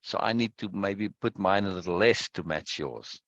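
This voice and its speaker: A man leading a casual chat over a video call on a close boom mic, explaining his point at length and often checking "right?" or "yes?". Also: moderate pace, dry recording, dull audio. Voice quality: loud. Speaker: discussion leader.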